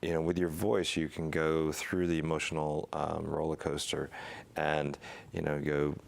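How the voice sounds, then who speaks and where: A man hosting a casual interview chat, asking halting questions with many restarts and affirming his guest. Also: extremely low pitch, little pitch movement, vocal fry. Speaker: host. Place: studio set made to look like a porch.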